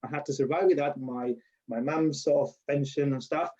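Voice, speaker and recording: A man's voice: a little high, steady tone, normal speed, dark tone, husky, highs cut off. A man from Spain with a strong Spanish accent, chatting in an interview and telling stories with restarts and laughs. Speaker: lecturer being interviewed. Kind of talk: casual interview.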